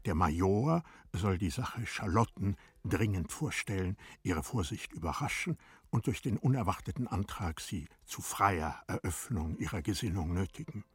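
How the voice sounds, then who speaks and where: A man narrating a literary radio drama in a deliberate, formal storytelling manner. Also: sits low, animated, slightly rough, dry recording.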